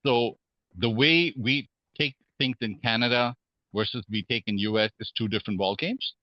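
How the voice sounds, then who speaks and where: A man from Hong Kong, a podcast guest, speaking in a measured way with many fillers and restarts. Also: mid-range voice, highs cut off. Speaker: guest real estate investor. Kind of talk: podcast interview.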